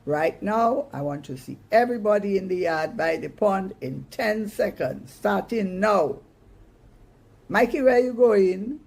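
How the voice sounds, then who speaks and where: A woman's voice: medium pitch, animated, unhurried, a little mellow, pitched above the performer's own voice. A woman voicing a bossy, exasperated mother in a storybook, with a scolding manner and emphatic Caribbean dialect. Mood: suspicious. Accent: Caribbean, Dominica.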